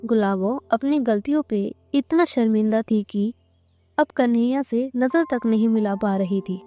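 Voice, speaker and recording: Female narrator for cartoon stories: warm, rounded tone, a little high, scripted delivery. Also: measured pace, soft background music.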